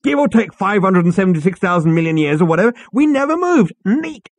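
A brash, vain alien adventurer with two heads, put on by a man reading a story. He pushes his voice way up and throws it around with swagger and slang. The husky tone stays dry and close. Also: high-pitched, sing-song, quick pace, no echo, voice pushed up, full character voice. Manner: cocky, loud, slangy.

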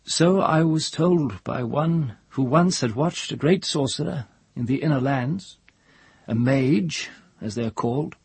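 A man's voice: mid-range voice, animated, measured pace, vocal fry, a little mellow, husky, no echo, voice pushed up, heavily acted. A man voicing a grave, knowing woman in a story, telling of marvels with solemn awe. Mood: solemn wonder.

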